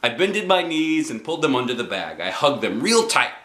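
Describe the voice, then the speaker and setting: A man narrates a children's storybook with expressive, dramatic phrasing. His medium-pitched voice swings up and down and has a bright edge. It is recorded in a roomy, echoing space. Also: a little fast. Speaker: dad reading children's books aloud.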